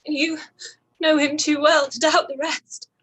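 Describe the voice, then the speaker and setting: Actress doing a really high voiced young period lady, upset and proud, blames herself, halting radio play delivery. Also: little pitch movement, a bit bright. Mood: distressed, halting. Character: distressed young gentlewoman, proud, self-reproaching. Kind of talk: live-read radio play acting of a period drama.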